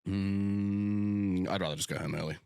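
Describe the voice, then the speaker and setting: A man's voice: sits low, fairly even intonation, unhurried, creaky, a little mellow, smooth, no room sound, clean studio sound. A young Australian man, a physique coach, chatting casually and swearing freely on a podcast. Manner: laid-back, sweary, rambling.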